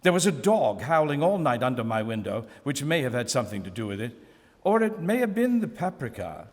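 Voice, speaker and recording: A man reading a classic novel aloud at a live museum event, in a measured, expressive first-person narration. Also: mid-range voice. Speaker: reader. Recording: long hall reverb.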